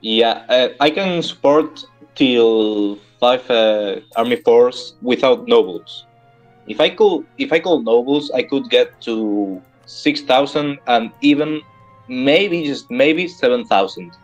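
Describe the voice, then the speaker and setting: A man chats haltingly over voice chat, searching for his words and now and then clearing his throat. His audio comes through dull and muffled, with faint music behind. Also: medium pitch, expressive. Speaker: fellow player. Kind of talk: casual multiplayer voice chat.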